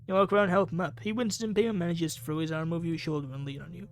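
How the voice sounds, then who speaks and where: A man's voice: on the high side, steady tone, racing, slight background noise. A man reading a visual novel game aloud as dramatic narration, acting out lines and thoughts. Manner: acts out characters' lines and thoughts.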